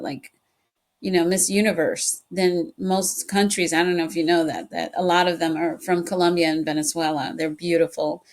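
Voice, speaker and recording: A woman whose first language is Spanish, hosting a casual interview and asking curious follow-up questions. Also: fairly low pitch. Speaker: podcast host.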